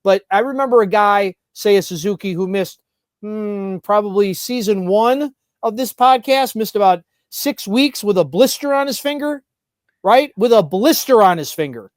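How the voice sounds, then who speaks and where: A high-pitched man hosts a sports podcast. He speaks conversationally and with animation, often repeating phrases for emphasis, in a very dry-sounding recording. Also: expressive, normal speed, dry recording.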